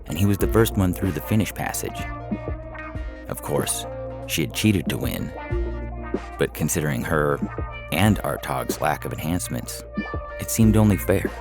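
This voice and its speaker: A man reading a short science fiction story as scripted narration. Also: very deep voice. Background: music under the reading.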